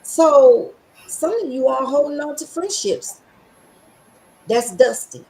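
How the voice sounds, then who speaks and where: A woman's voice: sits high, takes it slowly, darkish tone. A middle-aged woman with a scratchy voice hosting a podcast, talking in a sassy, emphatic and preachy way with lots of slang. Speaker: podcast host. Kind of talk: casual motivational chat.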